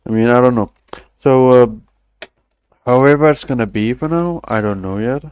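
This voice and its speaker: A man's voice: mid-range voice, moderate pace, clean tone, dry recording, highs cut off. A young man, chatting loosely on a stream, rambling and swearing casually with self-deprecating asides. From Denmark. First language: Danish.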